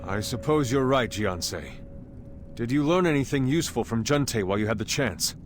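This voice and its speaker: An actor playing a dignified, earnest leader in a video game, speaking formally and in measured phrases. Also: male voice, medium pitch, lively intonation, slight background noise, close to an everyday voice. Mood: grave, concerned. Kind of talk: video game cutscene acting. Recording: loud music over the voice.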